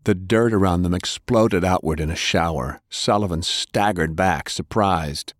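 A man's voice: low-pitched, measured pace, no echo, studio quality. A man reading action fiction as a dramatic, scripted audiobook narration. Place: dry studio.

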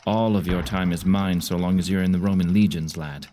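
An actor playing a shrewd, avuncular Roman general with a commanding manner in an audio drama. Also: male voice, low voice, fairly even intonation, a little fast, dark tone, slight background noise, close to an everyday voice.

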